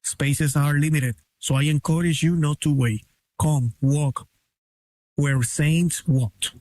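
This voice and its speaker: A man's voice: fairly high pitch, fairly even intonation, slow, darkish tone, no echo. Promo voice-over, man, scripted invitation, dry close sound. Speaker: pilgrimage promo speaker.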